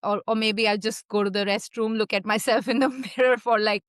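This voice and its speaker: A woman on an online panel sharing a reflective personal account, halting and full of fillers, laughing often, over a video call. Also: medium pitch, steady tone, dry recording, studio quality. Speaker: panel participant.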